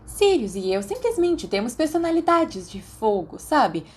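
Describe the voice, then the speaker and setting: A woman's voice: a little high, big pitch swings, a little slow, darkish tone, slightly rough, voice pushed up, exaggerated voice. A woman voicing a brash, fiery teenage schoolgirl in an audiobook, with a cheeky, emphatic and dramatic way of talking. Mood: chatty, emphatic. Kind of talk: audiobook character acting.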